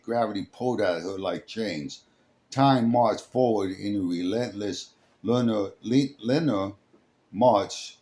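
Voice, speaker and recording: A man reading a dramatic scripted story as its narrator, in a halting way, sometimes stumbling over the words. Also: fairly low pitch, a little mellow. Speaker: storyteller, host.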